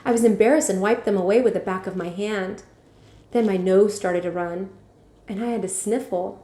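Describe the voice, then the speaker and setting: A woman's voice: medium pitch, moderate pace, warm tone. Woman reading a storybook, echoey room. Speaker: teacher reading aloud. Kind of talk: storybook read-aloud narration.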